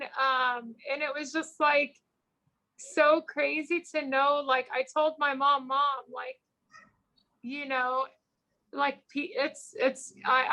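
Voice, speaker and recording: Bubbly high-voiced woman, chatty and rambling. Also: low-quality recording. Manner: chatty and rambling, full of "like" and "you know"; animated storyteller who acts out quoted people. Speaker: small business founder, guest. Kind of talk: casual interview chat.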